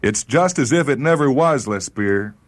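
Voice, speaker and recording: A man's voice: mid-range voice, animated, normal speed, dry recording, voice pushed up, natural voice. Man acting out a bitter dying spaceman, urgently pressing. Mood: bitter, taunting. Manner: urgent, pressing, shouting into a telephone. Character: Hollis, bitter dying spaceman, jealous, taunting, despairing.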